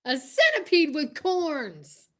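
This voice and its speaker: A woman's voice: very high-pitched, wildly swooping pitch, takes it slowly, a bit bright, full character voice. A woman playing a dim, cheeky male comic sidekick in an old-time radio comedy sketch, delivering silly punchlines eagerly.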